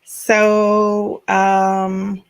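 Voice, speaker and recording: A chatty woman hosting a relaxed podcast conversation. She teases her guest playfully and breaks into laughter often. Her pitch sits in an ordinary middle range. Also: very slow, smooth. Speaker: podcast host. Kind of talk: casual chat.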